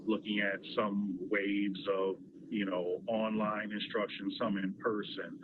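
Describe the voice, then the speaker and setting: Tinny, noisy line, low-voiced American man. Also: level tone, a little slow. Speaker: school official giving remarks. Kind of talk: spontaneous explanation in a meeting. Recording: muffled phone-like call, clear noise.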